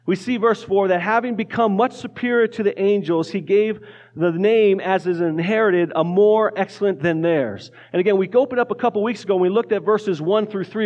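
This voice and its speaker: High-voiced casual male preacher. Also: moderate pace, darkish tone, highs cut off. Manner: casual, joking preacher with frequent restarts. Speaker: pastor. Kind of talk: sermon. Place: church sanctuary.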